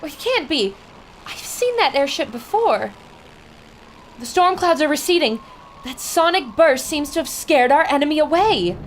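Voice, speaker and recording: A woman's voice: sits high, lively intonation, a little slow, slight background noise, low-quality recording. A woman playing an intrepid, observant newspaper reporter in a dramatic 1930s-style radio adventure. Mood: awed. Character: intrepid female newspaper reporter aboard a plane, brave and observant.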